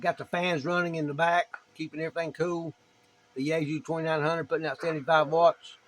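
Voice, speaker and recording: A man's voice: a little high, level tone, fairly quick. A man with an East Tennessee accent chatting casually as a ham radio operator, in a folksy, plain-spoken way. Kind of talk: casual chat and demonstration over ham radio.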